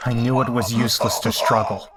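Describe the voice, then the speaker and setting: A man's voice: mid-range voice, steady tone, fairly quick, heavy background noise, lightly acted. A man playing a shaken horror survivor, narrating a bloody escape in a grave, dramatic audio drama style. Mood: horrified.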